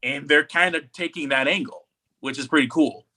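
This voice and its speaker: Guy on a podcast, kinda high bright voice, rambling and animated, casual chat. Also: moderate pace. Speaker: podcast co-host.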